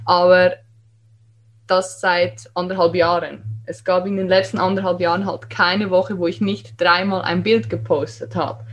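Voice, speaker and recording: A woman's voice: fairly low pitch, level tone, normal speed. Woman with regional German accent, candid, emphatic, motivating podcast guest. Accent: regional German dialect. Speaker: guest, Instagram creator. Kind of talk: casual podcast conversation.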